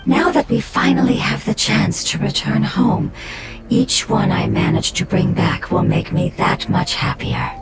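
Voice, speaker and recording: A voice actor playing a gentle, homesick little forest spirit in a game cutscene, speaking softly with hushed pauses. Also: mid-range voice, expressive, over loud music.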